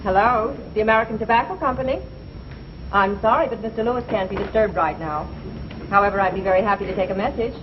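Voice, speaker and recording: An actress playing a polite, efficient receptionist in a 1950s comedy. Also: female voice, mid-range voice, quick pace, lightly acted. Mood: courteous, formal. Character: polite, efficient, unhurried female office receptionist. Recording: dull noisy 1950s broadcast, loud audience.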